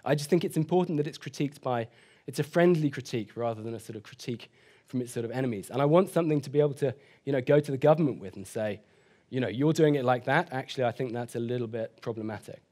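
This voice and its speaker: A man in his thirties answering questions after a keynote at a conference, hedging often and speaking quickly. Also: mid-range voice, mellow. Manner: hedging, sort of, you know. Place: conference hall stage.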